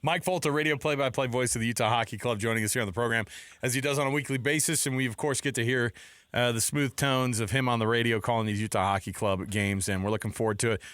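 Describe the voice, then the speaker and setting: A man with an American accent hosting sports talk radio, chatting casually in animated banter. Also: medium pitch, fast talker, no room sound, studio quality. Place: radio studio. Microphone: close studio microphone.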